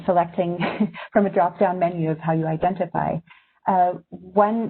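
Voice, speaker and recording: Husky-voiced woman on a tinny call. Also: lowish voice. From Syria. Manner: thoughtful, reflective. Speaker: magazine editor. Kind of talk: webinar talk to journalism students. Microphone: video call. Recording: tinny video-call audio.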